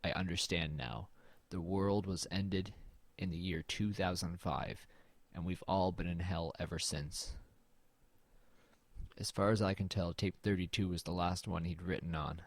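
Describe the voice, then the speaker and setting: A man playing an uneasy narrator in a horror audio drama, telling it in the first person in a measured, brooding way. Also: low-pitched, fairly even intonation, no echo. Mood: grave, ominous. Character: uneasy male narrator exploring a dead man's house.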